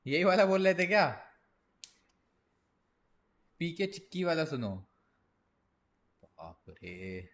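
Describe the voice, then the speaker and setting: A man livestreaming a game, chatting casually in slang, reacting excitably and muttering to himself. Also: on the high side, slow, pauses often. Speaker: gaming streamer. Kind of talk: casual livestream chat with viewers. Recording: clear background noise and clicks.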